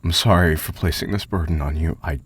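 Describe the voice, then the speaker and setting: A man playing a guilt-ridden, haunted character who has given up hope, speaking in a halting, pleading way in an audio drama. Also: a bass voice, slight background noise. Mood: guilty, despairing. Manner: halting, pleading, lapsing into whispers. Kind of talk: dramatic audio fiction acting.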